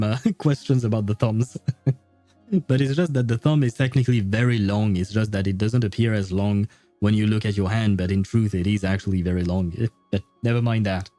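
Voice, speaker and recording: A male streamer with a soft, dark timbre chats to viewers in non-native English. He rambles politely and hesitantly, in a self-deprecating way. The sound is close and dry, with faint music underneath. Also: mid-range voice, no echo. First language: not English. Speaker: livestreamer. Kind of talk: casual livestream chat with viewers over gameplay. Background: faint game music.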